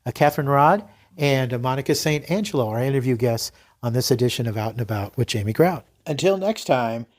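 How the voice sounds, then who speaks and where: A man speaks as a podcast host in a casual interview. His pitch is average for a male voice, and his manner is encouraging and appreciative. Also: animated, brisk.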